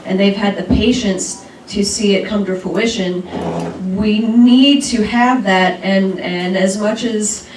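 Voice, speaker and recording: A woman's voice: medium pitch, fairly even intonation, slow pace, darkish tone, a little hiss or hum. A woman who is a school board candidate, making a candid, self-deprecating comment from the audience at a luncheon. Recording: echoey room from far off.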